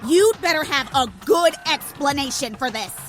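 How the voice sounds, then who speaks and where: A woman plays a fiery young woman in an audio drama, very high and sharp. She is brash and accusing, her pitch leaping as she demands answers. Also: animated, moderate pace, faint music behind. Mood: angry, demanding. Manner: brash, forceful, shouting in exasperation. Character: fierce young woman, hot-tempered, accusing, strong, kind at heart.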